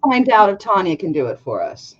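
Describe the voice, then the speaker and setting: A woman's voice: mid-range voice, a little fast, a little hiss or hum. Nasal chatty woman interviewer. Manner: chatty, laughs often, quotes others with lively voices. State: congested from allergies. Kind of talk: casual livestream interview. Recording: dull lo-fi stream audio.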